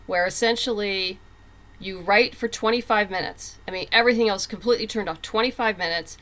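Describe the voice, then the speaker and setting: A woman's voice: medium pitch, a little fast, fairly bright tone, a little hiss or hum. A woman talking casually as an interview guest, explaining things in a rambling way with self-interruptions. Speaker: podcast guest, comics writer.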